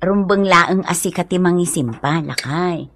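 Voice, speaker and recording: A woman's voice: lowish voice, a little slow, a bit bright. An actress playing a concerned, reproachful village mother in a radio comedy-drama, with an Ilocano accent and an admonishing delivery. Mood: disapproving.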